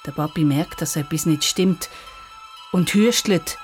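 A woman's voice: deep voice, measured pace, faint music behind. A woman with a Swiss German accent narrating a scripted children's story.

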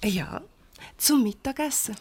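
An actress playing a defiant, quick-tongued young employee in a radio play, sharp and sarcastic, with a Swiss German accent. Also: female voice, on the high side, lively intonation, breathy edge, no echo. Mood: puzzled, casual.

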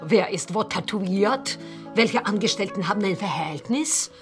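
A woman's voice: mid-range voice, unhurried, faint music behind, close to an everyday voice. An actress playing a scheming middle-aged cleaner and proud mother in a radio play, with a conspiratorial, theatrical manner.

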